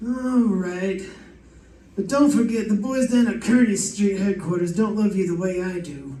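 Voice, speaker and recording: A suspicious police detective acted by a man in a vintage radio mystery. His voice is notably high yet mellow, pressing and dogged, caught from afar in a roomy space. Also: measured pace. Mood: grudging. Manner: pressing, questioning. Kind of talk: 1940s radio detective acting. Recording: roomy, distant mic.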